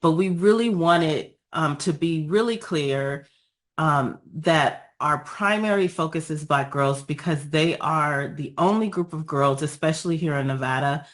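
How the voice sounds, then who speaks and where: A woman with a raspy voice after being ill, giving an earnest, measured presentation over a video call with frequent pauses and ums. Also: sits low. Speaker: guest speaker, nonprofit founder.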